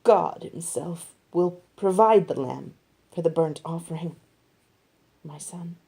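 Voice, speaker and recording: A woman voicing a steadfast, devout patriarch father in scripture storytelling, calm and earnest. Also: lowish voice, slow, vocal fry. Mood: calm faith.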